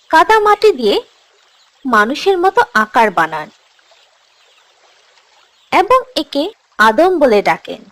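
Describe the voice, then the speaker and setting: A woman playing a gentle, encouraging teacher who tells scripted religious stories to children in a cartoon. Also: sits high, heavily acted. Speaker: storyteller, teacher.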